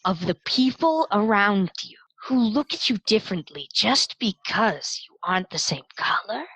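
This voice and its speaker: Woman playing a slow, disdainful androgynous villain mastermind. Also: mid-range voice, animated, fairly bright tone, breathy edge, dry recording, rough recording. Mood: haughty, menacing. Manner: slow deliberate pauses, disdainful monologuing. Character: androgynous half-elf villain mastermind, proud, bitter, theatrical.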